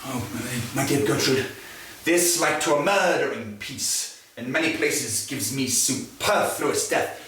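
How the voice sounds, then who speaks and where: An actor playing a scheming middle-aged king, speaking verse in a measured, weighty classical stage manner. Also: medium pitch, a bit bright, a little hiss or hum, close to an everyday voice. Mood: grave, troubled. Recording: echoey stage recorded from far off.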